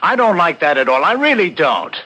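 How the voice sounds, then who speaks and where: A husky, probing husband, lively pitch. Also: male voice, brisk, heavily acted. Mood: exasperated, defensive. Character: reasonable, probing husband, loyal friend of a suspect. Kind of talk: 1970s radio mystery drama acting.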